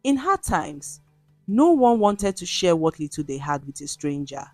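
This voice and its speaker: Animated woman storyteller, lowish voice. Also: measured pace, no echo, faint music behind. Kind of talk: scripted folktale narration.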